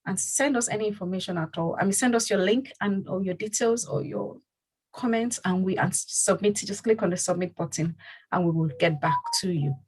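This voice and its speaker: A woman's voice: medium pitch, a little fast, darkish tone, breathy edge, low-quality recording. A woman hosting a community talk show, speaking casually and rambling with many fillers and restarts. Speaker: host.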